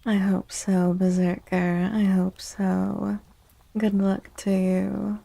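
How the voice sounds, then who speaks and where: A soft-spoken woman hosting an ASMR livestream, chatting casually and unhurriedly with viewers, close to the microphone. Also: mid-range voice, flat delivery, takes it slowly, creaky. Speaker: live streamer hosting ASMR tarot stream.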